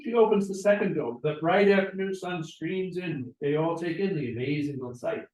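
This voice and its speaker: A man's voice: on the high side, moderate pace, darkish tone. A man reading stage directions as scripted narration at a play table read. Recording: roomy, echoey, distant mic.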